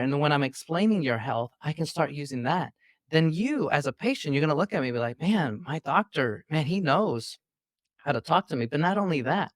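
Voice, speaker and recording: A man's voice: medium pitch, no room sound. A man from New York City, a physician guest, explaining at length in an earnest, teacherly way over a video call. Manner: earnest, teacherly, long-winded. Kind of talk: explaining interview answers. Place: indoors.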